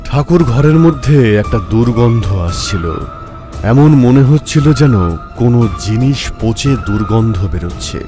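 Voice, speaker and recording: A man giving scripted narration of an animated horror story. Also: mid-range voice, moderate pace. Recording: music behind the narration.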